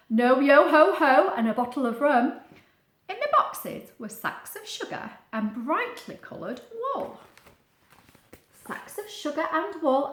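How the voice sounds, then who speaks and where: Female storybook reader, very animated and bright, slightly high voice, chatty asides to young listeners. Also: roomy sound. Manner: expressive, explains words and asks the listener questions. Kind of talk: children's read-aloud with chatty asides.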